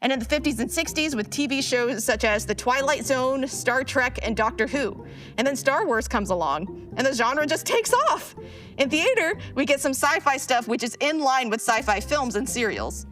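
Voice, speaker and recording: A woman's voice: high-pitched, expressive, normal speed, fairly bright tone, faint music behind. A woman playing a geeky, chatty modern character in a live audio drama, explaining things in a slangy, casual way. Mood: keen, explanatory.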